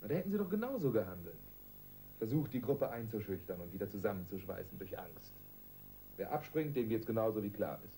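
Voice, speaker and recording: A man's voice: lowish voice, sing-song, a little fast, warm tone, a little hiss or hum. A man playing an earnest young detective in a radio crime drama, doubtful and hesitant but persistent. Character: earnest, doubting young male detective, aged twenty-two.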